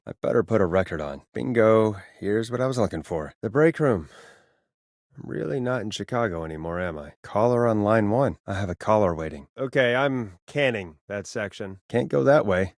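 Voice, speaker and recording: An actor voicing a wry, harried late-night radio DJ in a video game, with a dry, sardonic and expressive delivery. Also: male voice, fairly low pitch, dry recording. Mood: muttering, matter-of-fact. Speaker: radio show host. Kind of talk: video game voice acting, radio patter and reactions.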